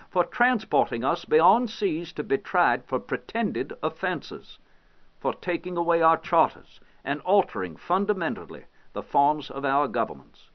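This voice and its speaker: Guy acting as a stately 1700s statesman giving a formal indignant reading, very animated pitch, slightly dull recording. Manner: measured, oratorical, emphatic phrasing. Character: Thomas Jefferson, stately, indignant 18th-century American statesman. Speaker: historical interpreter. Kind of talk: formal dramatic reading of an 18th-century document.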